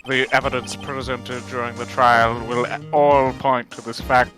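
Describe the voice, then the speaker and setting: A man voicing a cold, formal courtroom prosecutor in a video game fan dub, speaking in a measured way. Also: mid-range voice, little pitch movement, fairly bright tone, faint music behind, close to an everyday voice. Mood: formal, stern.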